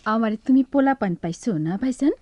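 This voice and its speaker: A woman's voice: a little high, lively intonation, fairly quick, dark tone, dry recording. A woman playing a hurt, abandoned village wife and mother in a radio drama, plaintive and insistent, with a rural Bangladeshi Bengali dialect. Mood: reproachful. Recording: dull lo-fi radio recording with music.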